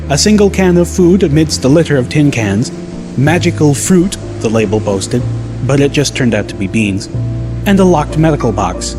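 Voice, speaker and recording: A man reading a first-person scripted story as an audiobook narrator. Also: fairly high pitch.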